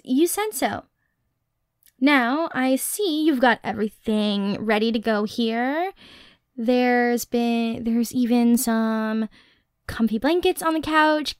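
A high-pitched, excitable young girlfriend in an audio roleplay acted by a woman. She is nerdy, playful and affectionate, speaking unhurriedly and close to a dry microphone. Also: slow pace, smooth, no echo. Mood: playful, teasing. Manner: playful, expressive, teasing, nerdy rambling. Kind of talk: ASMR girlfriend roleplay, intimate acted monologue. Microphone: close, dry studio microphone.

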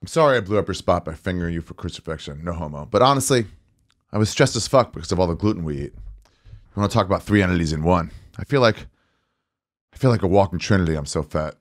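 A man in his forties with a fairly low voice, rattling through comedic material. He sounds sarcastic and exasperated, recorded dry and close on a studio microphone. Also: animated, quick pace, no room sound. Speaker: guest comedian on a podcast. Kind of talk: casual comedic monologue read aloud. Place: small podcast studio, indoors. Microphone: close studio mic with headset.

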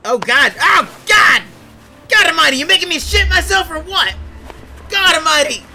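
A man's voice: very high voice, big pitch swings, a little slow, gravelly, background noise, full character voice. A man voicing an irate, sweary crime boss who whines and protests in an improvised comic game dub. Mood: panicked. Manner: exclamatory, sweary, whiny protesting. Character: irate male crime boss obsessed with his Christmas gathering.

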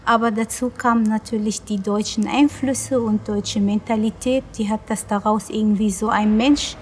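A middle-aged woman and school director speaking in an outdoor interview, measured and often searching for words. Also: mid-range voice, fairly even intonation, a little mellow, a little hiss or hum. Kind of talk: documentary interview. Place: outdoors in a park.

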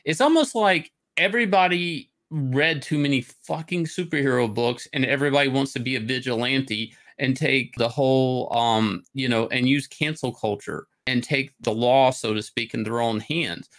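A man's voice: mid-range voice, normal speed, few pauses, fairly bright tone. Man ranting casually on a livestream. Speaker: stream host. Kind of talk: casual opinionated rant on a livestream. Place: indoor room, livestream on webcam.

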